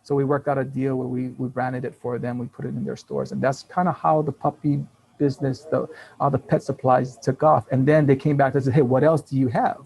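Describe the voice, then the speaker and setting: A man of fifty, raised in Taiwan and Hawaii with Taiwanese as his first language, telling business stories as a guest in a casual interview with lively restarts and laughs. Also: mid-range voice, a little fast, creaky, low-quality recording. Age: 50s. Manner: animated storytelling with frequent restarts and laughs.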